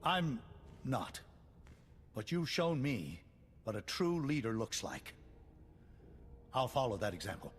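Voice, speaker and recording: An actor playing an earnest, resolute colony leader in a science-fiction video game, speaking in a formal, emphatic way. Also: male voice, mid-range voice, big pitch swings, takes it slowly, pauses often. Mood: grateful, earnest. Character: earnest middle-aged male colony leader, grateful and resolute. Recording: clear background noise and ambience.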